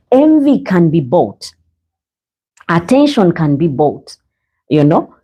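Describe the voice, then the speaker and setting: Uma mulher do Uganda, com sotaque ugandense, apresentando um podcast cristão ao vivo, em tom sério e pregador, falando diretamente aos ouvintes. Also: female voice, fairly low pitch, mellow, breathy edge. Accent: Ugandan English. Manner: earnest, preachy, addresses viewers as guys. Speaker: podcast host. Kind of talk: live faith podcast monologue. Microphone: own microphone, sometimes adjusted.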